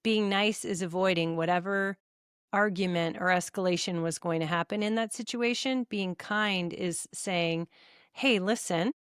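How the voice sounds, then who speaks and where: A woman's voice: on the low side, unhurried, clean tone, dry recording, clean studio sound. A woman in her fifties co-hosting a podcast, explaining her views and recommending things with enthusiasm. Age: 50s. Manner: explanatory, enthusiastic, laughs often. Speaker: podcast co-host. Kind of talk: casual chat.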